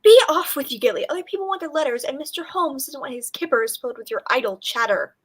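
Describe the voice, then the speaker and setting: A woman playing a sharp-tongued village innkeeper in a radio drama, with a brisk, disapproving manner. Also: high voice, expressive. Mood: sour, scolding.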